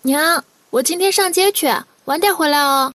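A woman's voice: high-pitched, normal speed, fairly bright tone, dry recording. A woman playing an innocent, tender young girl in love in an audio drama, heard from a distant mic. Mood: gentle.

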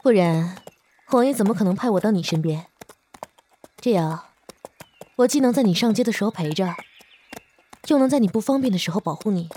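A woman voices a loyal female bodyguard who passes as a man. Her mid-pitched voice is composed and earnest, with lasting regret in it. It is period audio drama with slight noise behind. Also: animated, a little fast, pauses often, dark tone, natural voice. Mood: frank, steady.

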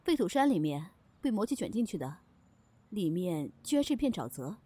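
A woman's voice: on the low side, sing-song, a little fast, dark tone. A woman playing an aloof, mysterious supernatural wish-dealer in an audio drama, curt, mocking and dismissive. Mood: offhand. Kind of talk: Chinese audio drama acting. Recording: clear music under the voice.

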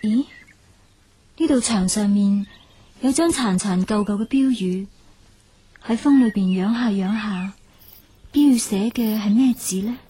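A woman playing a timid, naive teenage village maid with a husky, soft voice in a radio drama. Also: mid-range voice, unhurried, long pauses. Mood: curious, timid. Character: timid nineteen-year-old village girl sold as maid. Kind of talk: Cantonese radio drama acting.

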